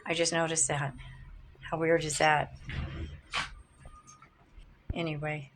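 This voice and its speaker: A middle-aged woman, slightly raspy this morning, chatting casually and rambling into her phone as she walks from outside into her home. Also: deep voice, flat delivery, slow pace, a bit bright, a little hiss or hum. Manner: rambling, easily distracted, laughs often. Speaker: vlogger. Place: outdoors then inside a home. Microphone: phone held by hand.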